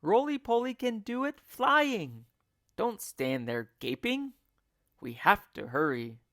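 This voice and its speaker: A man's voice: high-pitched, very animated, takes it slowly, pushed and bright, dry recording, clean studio sound, pitched above the performer's own voice, exaggerated voice. A man voicing a frantic, bossy monkey father in a children's storybook, urgent and commanding. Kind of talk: children's storybook character reading.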